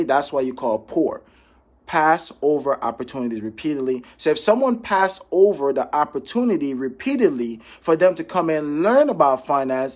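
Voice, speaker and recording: A man from Jamaica giving an informal motivational training talk as a sales coach, emphatic, repeating key phrases and checking in with his listeners. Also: on the high side. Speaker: financial professional coaching sales calls. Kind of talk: informal motivational training talk with role-played phone examples.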